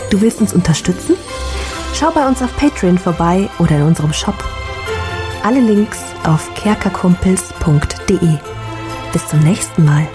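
A woman with a fairly low voice reads a scripted outro as a podcast announcer. Music plays clearly underneath her.

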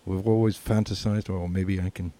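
A man's voice: low-pitched, fairly even intonation, moderate pace, mellow. A man co-hosting a podcast in reflective, casual conversation. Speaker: podcast co-host.

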